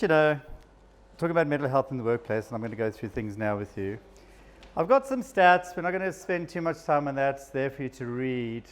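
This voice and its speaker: A man's voice: mid-range voice, a little hiss or hum. A man from South Africa with a South African accent, a psychiatrist giving a casual, self-deprecating talk to a live audience. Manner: chatty, self-deprecating, with frequent laughs. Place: event room with an audience.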